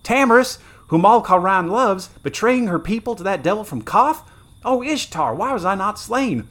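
A man's voice: high voice, lively intonation, normal speed, a bit bright, voice pushed up. A man voicing a wounded young guardsman, anguished and raging, with a rustic country-boy delivery. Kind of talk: dramatic reading aloud.

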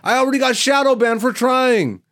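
A man's voice: very high-pitched, normal speed, fairly bright tone. A man who works as a stand-up comedian and podcast host, telling stories in casual, slangy, profane and emphatic talk. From born in Greece, Ethiopian by blood. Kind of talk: casual, animated podcast chat.

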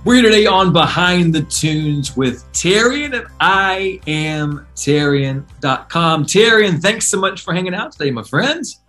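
A man hosting an interview podcast, upbeat and enthusiastic, with quick eager reactions. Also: sits high, expressive, bright, forceful tone, faint music behind. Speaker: podcast host. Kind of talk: casual interview.

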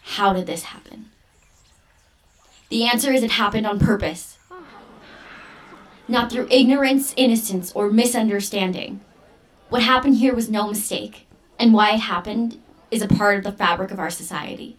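An actress playing an earnest, defiant young daughter in an audio drama, speaking with intense, deliberate, poetic phrasing. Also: female voice, mid-range voice, natural voice, low-quality recording. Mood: solemn, resolute. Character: earnest, defiant teenage daughter preparing for ritual role. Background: music under the voice.

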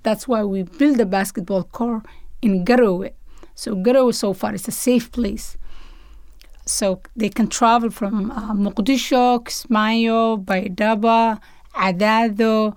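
A woman's voice: fairly high pitch, fairly even intonation, slow, a little mellow, no echo, clean studio sound. A woman from Somalia with a Somali accent, speaking earnest non-native English in short phrases as she tells her story in an interview. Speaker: interview guest, basketball coach and organiser.

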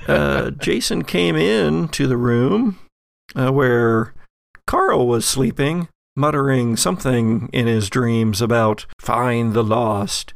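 A man's voice: mid-range voice, vocal fry, dry recording, studio quality. A man narrating as game master and podcast host, shifting between theatrical storytelling and casual asides. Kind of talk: recapping and running a tabletop game.

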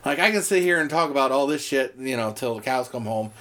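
A man's voice: mid-range voice, animated, quick pace, fairly bright tone. A man co-hosting a podcast chat, rambling from one point to the next, dropping fillers and the odd swear. His voice is starting to wear out. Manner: rambling, many fillers and restarts, swears casually. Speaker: podcast co-host. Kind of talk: casual chat.

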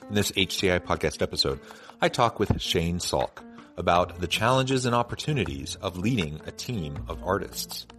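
A man's voice: low-pitched, animated, normal speed, over loud music. A man hosting an interview podcast, speaking as the interviewer. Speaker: podcast host.

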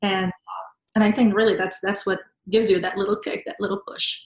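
A woman's voice: mid-range voice, little pitch movement, brisk, roomy sound, rough recording. A woman from Venezuela with a Venezuelan Spanish accent, answering interview questions with earnest, reflective personal storytelling. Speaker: interview guest, nonprofit professional.

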